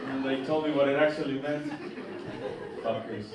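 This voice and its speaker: A man's voice: medium pitch, steady tone, moderate pace. A young man with a Mexican accent doing a stand-up set on a theatre stage, chatty and loose, stumbling over restarts and laughing at his own jokes. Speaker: stand-up comedian. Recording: echoey hall, distant mic, noisy.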